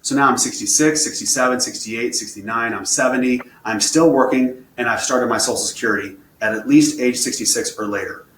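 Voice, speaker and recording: Man, advisor type, plain step-by-step explainer, rapid delivery, recorded in a roomy space. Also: medium pitch, a bit bright. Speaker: financial advisor presenting a webinar. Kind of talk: explaining slides, answering viewer questions. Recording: roomy echo, faint room noise.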